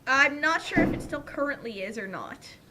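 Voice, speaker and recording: A woman's voice: high voice, expressive, moderate pace, pushed and bright. A woman giving joking, casual commentary as a co-commentator.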